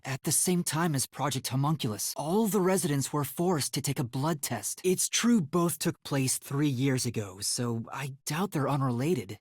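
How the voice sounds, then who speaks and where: Young male trainee detective for an anime video game: sincere, easily flustered, highly expressive intonation, soft husky breathiness, close dry sound. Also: no room sound. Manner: earnest, hesitant, prone to startled yelps.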